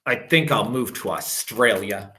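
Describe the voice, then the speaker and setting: A man, a teacher, reading a children's picture book aloud with expressive, drawn-out emphasis on repeated phrases. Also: lowish voice.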